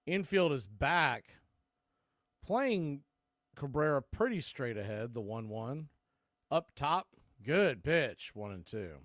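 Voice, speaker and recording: A man's voice: mid-range voice, very animated, takes it slowly, a bit bright, no echo, clean studio sound. A man working as a baseball radio announcer, calling the game live in short, clipped phrases. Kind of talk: live baseball play-by-play commentary.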